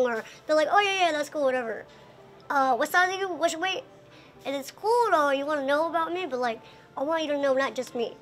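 A young woman with a very high voice talks casually in an interview. She is chatty and often slips into quoting other people as she explains things. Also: a bit bright. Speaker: performer being interviewed. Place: indoor lounge by a window.

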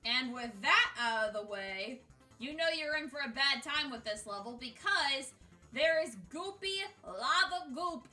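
High-voiced woman doing gaming commentary. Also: lively intonation, unhurried, bright, forceful tone, recorded from a distance. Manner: exasperated, sweary, jokey. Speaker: gaming video host. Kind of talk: casual scripted countdown commentary on a video game. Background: music.

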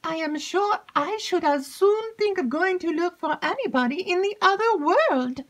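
A woman voicing an excitable, chattering young maidservant who gushes out news in a breathless, high voice. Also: moderate pace. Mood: excited. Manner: breathless, gushing, high-pitched.

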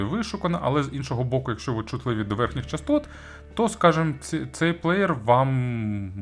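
Man, halting casual reviewer, music behind. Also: mid-range voice, fairly bright tone. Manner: halting, with stammered false starts and self-corrections. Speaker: audio gear reviewer. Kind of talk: casual product review to viewers.

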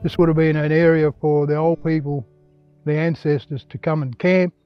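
An older man with an Australian accent telling stories informally on location in a plain-spoken, halting, conversational way. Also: fairly high pitch, flat delivery, smooth, highs cut off. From Western Australia. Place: outdoors in open dry country. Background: guitar music.

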